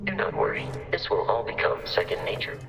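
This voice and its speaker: A low-voiced game robot, courteous and helpful. The delivery is formal and exact but swings noticeably in pitch as it explains things, with music underneath. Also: male voice, huge pitch swings, a little fast, vocal fry, exaggerated voice. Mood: calm, reassuring. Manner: formal, precise, reassuring explanations. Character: polite male robot companion, helpful and formal. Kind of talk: scripted video game dialogue.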